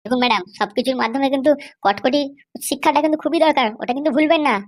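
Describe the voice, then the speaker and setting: A woman's voice: sits high, racing, natural voice. A woman voicing a scheming, eager political henchwoman in a comedy cartoon, deferential yet assertive.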